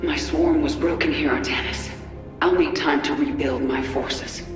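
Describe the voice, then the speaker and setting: A woman's voice: deep voice, steady tone, moderate pace, lightly acted. Woman as a commanding, echoing warrior queen. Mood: grim. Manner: terse, commanding. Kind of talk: video game cutscene acting. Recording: echoey, music behind.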